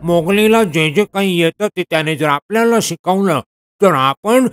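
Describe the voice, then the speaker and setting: Guy as a plotting jungle monkey in a kids cartoon tale, eager, high for a man. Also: normal speed, fairly bright tone, smooth. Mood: scheming, ambitious. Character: scheming, ambitious jungle monkey. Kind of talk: children's animated storybook acting.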